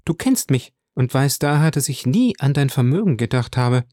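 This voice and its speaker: A man's voice: medium pitch, sing-song, moderate pace, dark tone, no room sound, studio quality, natural voice. A man voicing a tender, tearful young granddaughter in an audiobook, speaking in a raised, pleading tone. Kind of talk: audiobook character voice.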